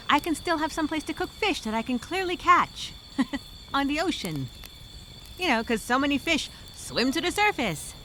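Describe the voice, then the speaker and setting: A woman's voice: on the high side, fairly bright tone. A woman playing a weary, sarcastically hopeful castaway who muses aloud in a dramatic journal monologue. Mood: sarcastic daydreaming. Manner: wry, musing, sarcastic. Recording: noisy, insects and crickets chirping behind.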